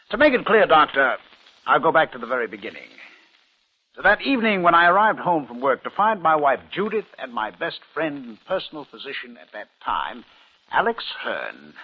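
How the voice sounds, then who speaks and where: A smug, scheming businessman in a vintage radio play. His husky, highish voice swings theatrically with self-satisfaction, heard through a muffled old broadcast. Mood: boastful, cold. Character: boastful, scheming male businessman, smug killer. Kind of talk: 1950s radio drama acting.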